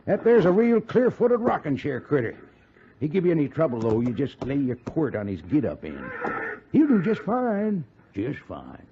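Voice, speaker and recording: Creaky, high-voiced sly Western old-radio hand. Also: male voice, wildly swooping pitch, normal speed. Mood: reassuring, salesmanlike. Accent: American Western. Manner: drawling, garrulous, salesmanlike. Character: folksy, sly male frontier stagecoach station attendant. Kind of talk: 1950s radio western acting. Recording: muffled, hissy 1950s radio recording.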